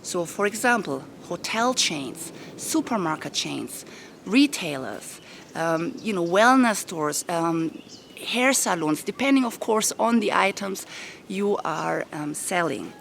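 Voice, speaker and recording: A woman with a rough voice, giving upbeat step-by-step business advice to camera from a garden outdoors. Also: lowish voice, lively intonation, slow pace, fairly bright tone, slight background noise. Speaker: business coach. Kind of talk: casual talk to camera. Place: garden of a private villa, outdoors.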